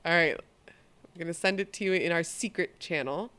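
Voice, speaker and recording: A woman's voice: lowish voice, normal speed, sharp tone. A woman co-hosting a podcast in casual chat. She swears freely and often restarts her sentences. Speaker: podcast co-host.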